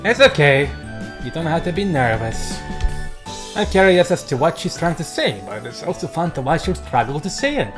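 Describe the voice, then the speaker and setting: A man reading a visual novel aloud as a let's play host, mixing narration with casual, excitable commentary. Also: a little high, moderate pace. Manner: excitable outbursts between narrated lines. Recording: game music behind the voice. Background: game background music.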